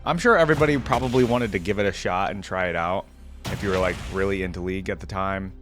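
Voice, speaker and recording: A man's voice: deep voice, fast, music in the background. A man giving casual gaming reaction commentary, reading aloud and commenting offhandedly as host.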